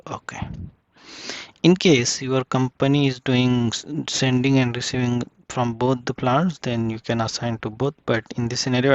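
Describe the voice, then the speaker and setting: A man giving a casual step-by-step software tutorial, speaking in short, halting phrases as he works through the screen. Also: medium pitch, no room sound, highs cut off.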